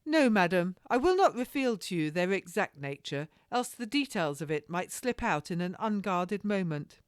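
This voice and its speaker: A woman's voice: on the low side, big pitch swings, moderate pace, dry recording, studio quality, voice pushed up. A woman voicing a cocky, evasive male captain from a period storybook, with flowery formal speech. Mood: pompous, cocky.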